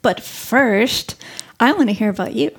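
A woman's voice: a little high, expressive, unhurried, breathy edge. A woman hosting a podcast in casual chat, telling stories and laughing often. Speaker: podcast host.

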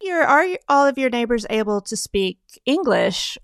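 A woman interviewing guests, asking friendly questions and nodding along with quick affirmations. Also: on the high side, sing-song, dry recording. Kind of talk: casual interview.

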